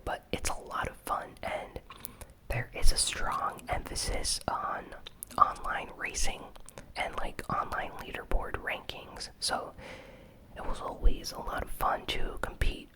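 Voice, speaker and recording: ASMR news host for a gaming channel; fully whispered, breathy, casual and informal. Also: rough recording.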